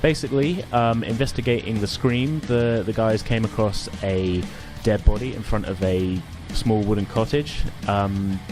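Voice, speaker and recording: A man's voice: fairly low pitch, steady tone, normal speed, few pauses, over music. A man working as game master, narrating casually in an informal, hesitant way. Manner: informal, hesitant, chuckling asides.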